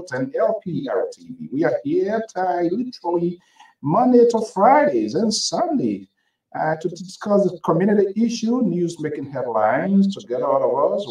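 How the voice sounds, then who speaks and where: A man's voice: fairly high pitch, dark tone, reverberant, far from the mic, rough recording. A man hosting a live talk show, greeting listeners and callers by name with fillers and restarts. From Liberia. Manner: reads names with fillers and restarts. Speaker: talk show host sitting in.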